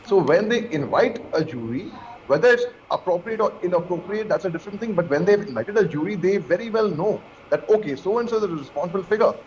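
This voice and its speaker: A man with an Indian accent giving his opinion as a guest on a news debate, speaking emphatically and haltingly with repeated phrases. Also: high voice, animated, a little mellow. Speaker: panel guest commentator. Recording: dull lo-fi call audio, clear noise.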